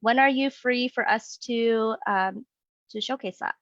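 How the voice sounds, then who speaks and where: A woman's voice: a little high, a little slow, a bit bright, dry recording. A young woman interviewing for a sales job, playing a real estate agent in an upbeat, friendly way with many okays. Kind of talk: mock sales interview role play. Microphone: video call. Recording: dull lo-fi sound, dry.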